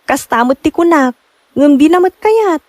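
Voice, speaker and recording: A woman's voice: sits high, expressive, normal speed, darkish tone, dry recording. A woman with an Ilocano accent playing a concerned, outspoken confidante in a melodramatic drama, in an earnest, scolding manner. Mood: pleading. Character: concerned, outspoken woman advising her loved ones. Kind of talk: amateur melodramatic drama acting.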